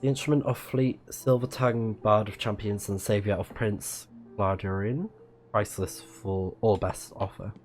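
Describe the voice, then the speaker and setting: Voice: deep voice, fairly even intonation, moderate pace, a little mellow. A person playing a video game on a livestream, giving casual commentary with murmured reactions and reading on-screen text aloud. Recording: game music behind the voice. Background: video game music.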